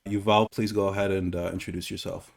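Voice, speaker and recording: Host: man from the US, lowish voice, brisk and polite, businesslike. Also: flat delivery, creaky. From United States. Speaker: host, interviewer. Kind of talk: interview hosting.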